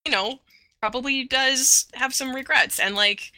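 A woman in a casual podcast discussion, talking in a halting way and often repeating her words as she works out a point. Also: sits high, sharp tone, dry recording, rough recording. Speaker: podcast panelist. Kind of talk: casual animated-show discussion.

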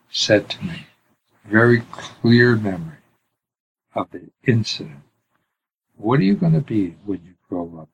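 Elderly priest in his 80s, very low voice, slow unhurried telling, pauses and hushed drops, faint hiss behind. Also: creaky, poor audio. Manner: unhurried, pausing often, swells and drops to near whisper. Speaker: interview guest, priest. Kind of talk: slow reflective storytelling.

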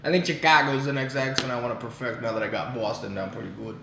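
A man's voice: mid-range voice, steady tone, quick pace, sharp tone, a little hiss or hum. A young man co-hosting a podcast, telling stories in a rambling, jokey, profane way in casual chat. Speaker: podcast co-host. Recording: echoey room, dull lo-fi sound.

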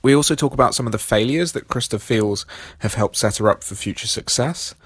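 A man hosting a podcast, husky from being sick, reading a scripted intro and carefully spelling out web addresses. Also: mid-range voice, no echo. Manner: steady, reads details slowly and spells them out. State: husky from illness. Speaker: podcast host.

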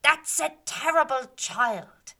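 A woman's voice: mid-range voice, sing-song, measured pace, pushed and bright, full character voice. A woman voicing a band of small fairies who scold and give orders. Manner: scolding and commanding.

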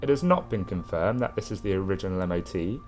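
A man's voice: deep voice, expressive, quick pace, soft background music. A man acting in an audio drama as an indignant defence lawyer, formal and argumentative. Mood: assertive.

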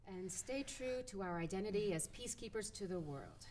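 An actress playing an impatient female office speechwriter in a satirical audio drama, brisk and curt with strained politeness. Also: medium pitch, lively intonation, darkish tone, a little hiss or hum, lightly acted.

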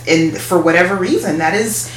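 A woman's voice: lowish voice, moderate pace, reverberant, soft background music, rough recording. A woman in her forties co-hosting a casual podcast chat, agreeing readily and speaking frankly. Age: 40s. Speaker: podcast co-host.

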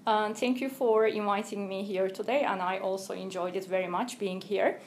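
A woman from Turkey, a researcher guest answering interview questions in a careful, explanatory way with thoughtful pauses. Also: mid-range voice, fairly even intonation, a little hiss or hum.

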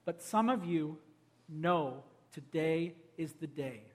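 A pastor delivering an altar call sermon, urging and pleading, swinging from loud appeals to hushed, quiet invitations. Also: male voice, a little high, animated, slow pace. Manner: urgent, pleading, swells loud then drops to a hush.

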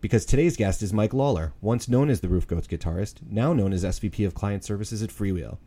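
A man's voice: fairly low pitch, brisk, dark tone, roomy sound. A man hosting a podcast, opening the episode with wry jokes and then interviewing a guest. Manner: upbeat, wry, many fillers. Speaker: podcast host. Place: large conference room with windows.

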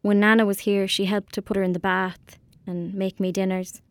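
A woman's voice: medium pitch, level tone, moderate pace, dry recording, lightly acted. A woman with a rural Irish accent playing a tender, wistful young girl in an audio drama. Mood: wistful, sad. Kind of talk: audio drama acting, monologue and dialogue.

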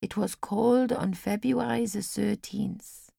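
A woman voicing a frail, gentle, wistful old lady in an audiobook, speaking slowly and very politely. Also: medium pitch, vocal fry, no echo, clean studio sound, exaggerated voice. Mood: wandering, wistful. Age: older. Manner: slow, deliberate, polite. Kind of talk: audiobook character acting.

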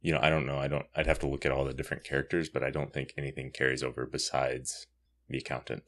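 A man's voice: rumbling low, little pitch movement, quick pace, fairly bright tone. A man hosting a podcast, talking casually through a comic book review. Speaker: podcast host.

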